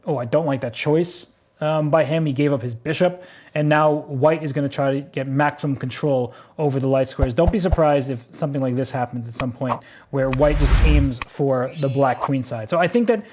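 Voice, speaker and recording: A man's voice: on the high side, a little mellow. A man commentating a blitz chess game, exclaiming at surprising moves and laughing easily. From United States.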